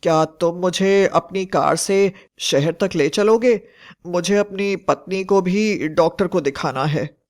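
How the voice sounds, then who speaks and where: An earnest village man, acted by a man. His voice is on the higher side and his delivery is dramatic, as in an animated horror story. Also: slow. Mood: urgent. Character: village men, friends anxious over a witch.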